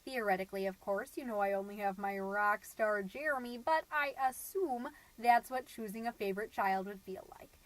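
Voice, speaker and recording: A woman's voice: mid-range voice, moderate pace, few pauses, natural voice, rough recording. A woman playing a chirpy, gossipy suburban stay-at-home mom who hosts a homemade comedy podcast in a folksy, rambling, self-satisfied way. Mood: rambling, chatty. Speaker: podcast host.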